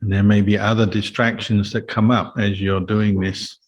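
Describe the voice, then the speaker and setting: A man speaking as a meditation teacher, giving calm, measured guidance in a Buddhist talk. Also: on the low side, dull audio. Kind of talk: guided meditation and Dhamma talk.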